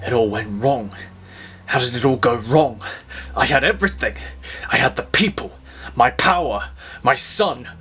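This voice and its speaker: A man's voice: medium pitch, animated, measured pace, pauses often, pushed and bright, slightly rough, long reverb tail, heavily acted. A man playing a brooding, arrogant supervillain in an audio drama, aggrieved and full of self-pity. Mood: wounded, brooding.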